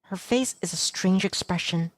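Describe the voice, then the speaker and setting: A woman's voice: lowish voice, moderate pace, no room sound, close to an everyday voice. A woman playing a self-doubting, observant young heroine, narrating a visual novel in a glum, confessional first person. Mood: worried, observant. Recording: music clearly behind the voice.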